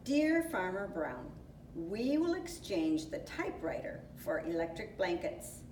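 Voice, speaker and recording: A woman voicing a herd of polite but demanding farm cows in a storybook, in measured, formal phrases. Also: mid-range voice, very animated, a little mellow. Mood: polite, firm. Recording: roomy echo, distant mic, faint noise.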